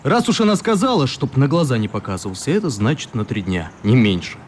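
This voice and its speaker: Actor as grumbling, animated working man. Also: mid-range voice, brisk, close to an everyday voice. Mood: sulky, resigned. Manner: colloquial, wry, grumbling. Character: grumbling, easygoing working man resigned to trouble. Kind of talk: radio play acting. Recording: light steady background noise.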